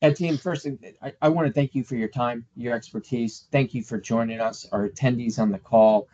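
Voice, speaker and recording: A man's voice: medium pitch, steady tone, normal speed, warm tone. Male host, cheerful promotional tone, slightly muffled video call audio. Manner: upbeat, polite, promotional. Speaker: webinar host. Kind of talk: hosting a travel webinar.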